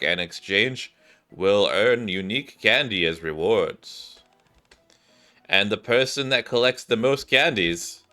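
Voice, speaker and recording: A man doing casual game-stream commentary in an animated way, thinking aloud and sometimes reading on-screen text. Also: fairly low pitch. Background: game music.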